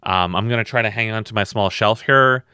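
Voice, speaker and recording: A tired man with a loud voice, co-hosting a podcast in a blunt, rambling, swearing chat. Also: fairly low pitch, brisk, clean tone, no room sound, studio quality. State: very tired from lack of sleep. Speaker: podcast co-host.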